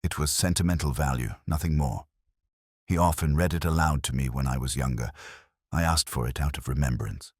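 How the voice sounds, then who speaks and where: A man's voice: a bass voice, brisk, husky, no echo, studio quality. A man voicing a guarded, composed Victorian woman with formal, evasive speech in an audiobook story. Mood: defensive, composed. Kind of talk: audiobook character dialogue.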